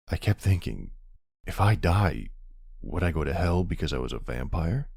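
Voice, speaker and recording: Brooding ancient vampire hunter, played by a man, gravelly and slow, close dry sound, deliberate ASMR roleplay delivery. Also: very deep voice, dry recording, heavily acted. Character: brooding centuries-old male vampire who hunts vampires. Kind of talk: ASMR audio roleplay, scripted dramatic monologue.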